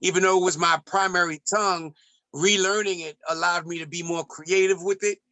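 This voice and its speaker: A man from the United States, raised in Ethiopia, talking as a poet and musician guest in a reflective interview, measured and rhythmic with restarts. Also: high-pitched, steady tone, bright, forceful tone, no echo.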